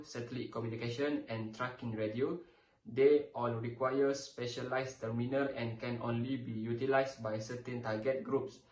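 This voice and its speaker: A young man, a student presenter, reading a scripted project presentation formally and carefully, stumbling on the odd long word. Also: medium pitch. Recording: echoey room sound.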